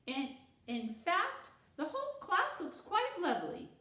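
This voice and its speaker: A woman's voice: extremely high pitch, wildly swooping pitch, unhurried, sharp tone, echoey room, voice pushed up, heavily acted. A woman voicing a confident, composed schoolgirl who holds court as a storyteller in a children's book, emphatic and poised. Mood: gracious.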